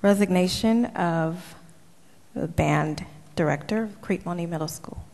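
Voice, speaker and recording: Low-ish woman announcing speakers at a board meeting, reverberant auditorium. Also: measured pace, darkish tone. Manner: brief, formal announcing. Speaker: board member calling speakers. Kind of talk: chairing a school board meeting. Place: large auditorium. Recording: reverberant hall.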